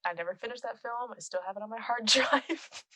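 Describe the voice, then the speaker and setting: A young woman with a naturally soft, quiet voice, talking with a guest's easy chattiness on a close microphone. She laughs often and leans on "like" as she talks. The sound is dry, with no room around it. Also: fairly high pitch, fairly quick, no echo. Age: young adult. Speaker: guest, film composer and musician. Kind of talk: casual podcast chat. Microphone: close podcast microphone.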